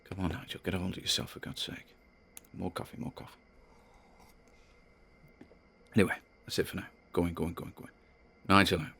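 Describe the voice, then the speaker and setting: An actor playing a spooked man who rambles haltingly and nervously as he records private audio logs in an audio drama. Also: low-pitched, level tone, pushed and bright, slightly rough, exaggerated voice. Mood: overwhelmed, muttering. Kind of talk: dramatic audio-log monologue.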